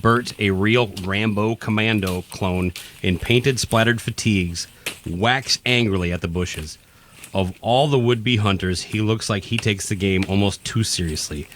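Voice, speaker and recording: A man's voice: on the low side, measured pace, fairly bright tone, a little hiss or hum. A man reading scripted narration for a radio play. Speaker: narrator, podcast host.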